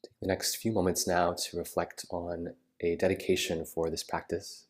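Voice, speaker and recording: A man guiding a meditation in a soothing manner, with unhurried phrasing and gentle pauses. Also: sits low, level tone. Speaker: yoga nidra meditation guide. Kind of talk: guided meditation.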